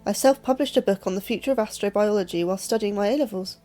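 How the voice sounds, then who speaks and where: An actress with a British accent playing an ambitious young astrobiologist in a sci-fi audio drama, earnest and slightly superior. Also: female voice, mid-range voice, fast talker, dark tone, soft background music, lightly acted. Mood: self-assured. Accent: British English. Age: young adult. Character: ambitious, self-assured, anxious young woman astrobiologist.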